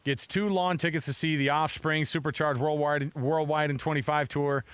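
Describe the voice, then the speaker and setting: A man's voice: fairly high pitch, fairly even intonation, moderate pace, fairly bright tone, clear, resonant tone, dry recording. A middle-aged American man hosting sports radio in a casual, chatty, rambling way from a studio mic. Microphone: close studio microphone.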